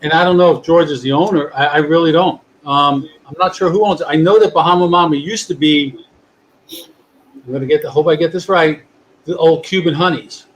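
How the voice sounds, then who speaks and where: New guy hosting a chat show, rambly and easygoing, slightly high voice, faint hiss on the line. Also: a little fast, low-quality recording. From New York. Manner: rambling, relaxed, many restarts. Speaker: podcast host. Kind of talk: casual chat. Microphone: video call.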